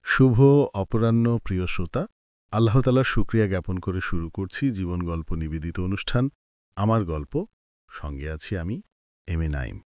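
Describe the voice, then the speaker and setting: A man's voice: low voice, expressive, moderate pace, darkish tone, no room sound, clean studio sound. A man with a Bangladeshi Bengali accent, hosting a life-story show and putting short questions to a guest. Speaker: radio-style show host and interviewer.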